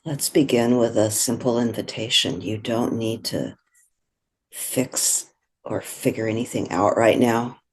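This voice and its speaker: A meditation guide speaking in a gentle, soothing, unhurried way, giving slow instructions with long pauses. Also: mid-range voice, a little hiss or hum.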